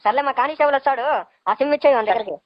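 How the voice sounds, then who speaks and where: A woman's voice: high-pitched, rapid-fire, dry recording, natural voice. A woman playing a stern, threatening police officer on a prank phone call, questioning and scolding bossily in a Rayalaseema Telugu accent. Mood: bossy. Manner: bossy, interrogating. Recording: dull, lo-fi phone call recording.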